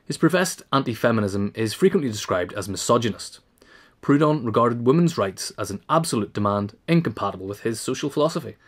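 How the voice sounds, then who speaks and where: A man's voice: mid-range voice, animated, a little fast. A man reading scripted narration, as in an audio essay. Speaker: reader.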